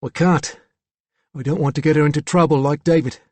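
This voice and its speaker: Audiobook role: Cockney lad of thirteen played by a man, earnest, impish, pitched up, dry sound. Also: steady tone, brisk, a little mellow, no echo, lightly acted. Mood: anxious. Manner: crisp, childlike, impish. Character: open, earnest thirteen-year-old English boy, impish.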